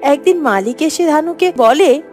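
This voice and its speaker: A woman's voice: sits high, expressive, unhurried, darkish tone. A woman giving scripted narration for a children's fairy-tale cartoon. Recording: music under the voice.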